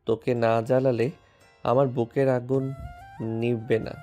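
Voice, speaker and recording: A man's voice: mid-range voice, little pitch movement, unhurried, mellow, soft background music, natural voice. A man playing a domineering, teasing, possessive young lover in an audio romance drama. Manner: commanding, scolding.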